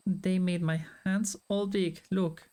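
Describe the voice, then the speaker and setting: A woman's voice: fairly low pitch, steady tone, slow, dark tone. A woman voicing a goofy, easygoing overgrown friend while reading video game dialogue aloud, casual and good-natured. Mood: casual boasting. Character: oversized, goofy, easygoing friend turned older man.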